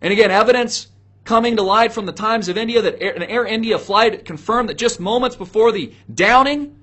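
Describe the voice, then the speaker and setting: A man with a notably high-pitched voice delivers solo political commentary as an online host. He is opinionated and preachy, leaning hard on his points and addressing viewers directly with rhetorical questions. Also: fairly quick, fairly bright tone.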